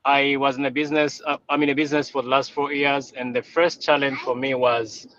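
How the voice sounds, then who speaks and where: A man's voice: medium pitch, little pitch movement, a little fast, a bit bright, lo-fi sound. A man in his thirties from Rwanda whose first language is French, speaking as a business coach in a live interview, hesitant and repeating words. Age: 30s. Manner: hesitant, repeats words, slight grammar slips.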